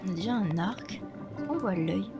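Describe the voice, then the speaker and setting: A woman's voice: medium pitch, lively intonation, moderate pace. A young woman with a stuffy, slightly nasal voice from a cold, chatting casually to viewers at home while she plays a game. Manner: chatty, laughs often, talks to viewers. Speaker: let's play streamer. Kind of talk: casual gameplay commentary. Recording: game music behind the voice. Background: game music.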